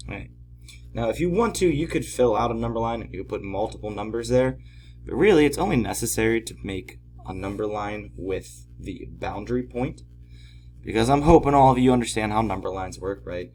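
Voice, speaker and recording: A man teaching in an informal tutorial style, patiently explaining step by step, his voice slightly hoarse from a cold. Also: fairly low pitch, expressive, brisk, a little mellow. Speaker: math teacher. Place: at home, at a desk.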